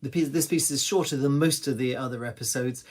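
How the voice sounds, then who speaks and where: A man's voice: mid-range voice, a little fast. A man giving a spoken introduction to a piece he wrote and performs. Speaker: writer-performer introducing own monologue.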